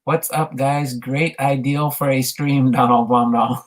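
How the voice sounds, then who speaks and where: American woman, extremely low pitch, live stream host, casual conversation. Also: fairly even intonation, unhurried, low-quality recording. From United States.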